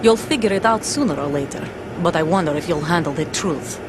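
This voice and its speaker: A woman's voice: low voice, lively intonation, fairly quick, background noise. An actress playing a guarded, stoic female soldier in a video game drama, speaking in a terse, serious, controlled manner. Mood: stern, controlled. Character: guarded female soldier, stoic mother forced into covert service. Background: music.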